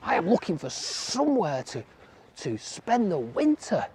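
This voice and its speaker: A storyteller voicing a cold, hopeful rabbit in a children's folk tale, asking slowly and pleadingly for room. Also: mid-range voice, huge pitch swings, a little mellow, a little hiss or hum. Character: cold, hopeful rabbit seeking a winter home.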